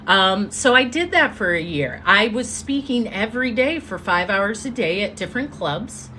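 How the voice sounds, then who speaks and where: A woman giving an earnest motivational talk as a live stream, telling her story with drawn-out pauses. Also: medium pitch, pushed and bright, a little hiss or hum. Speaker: motivational speaker. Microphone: phone or webcam mic.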